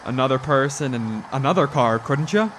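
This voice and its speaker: A man's voice: mid-range voice, expressive, normal speed, fairly bright tone, a little hiss or hum, natural voice. A man playing a haunted, increasingly frantic cross-country driver in a radio drama, stammering and urgent as he speaks and narrates. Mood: awkward, probing. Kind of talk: old-time radio drama acting with first-person narration.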